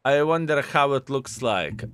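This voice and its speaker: A man's voice: mid-range voice, a bit bright, clean tone, dry recording. Lithuanian-accented man, chatty game commentary. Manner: chatty, exclamatory, addresses viewers as fellas. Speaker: gaming video host. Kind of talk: casual gameplay commentary.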